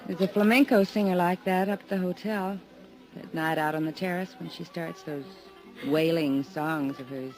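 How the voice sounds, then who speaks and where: A woman's voice: fairly low pitch, steady tone, normal speed, a faint crowd, close to an everyday voice, poor audio. Lady doing a moody bar girl, languid and confiding, faint music behind. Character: moody young woman at a bar. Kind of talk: 1950s radio drama acting.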